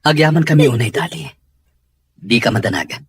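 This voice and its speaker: A man playing a stern but caring middle-aged father in a radio-style family drama, firm and measured. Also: medium pitch, lively intonation, slight background noise, natural voice. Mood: concerned.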